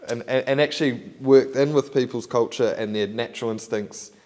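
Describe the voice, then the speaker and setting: A man's voice: medium pitch, fairly quick, a little mellow. A man hosting an interview, thinking aloud in long, rambling turns full of "you know" and "bro". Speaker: podcast host. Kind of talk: casual interview chat.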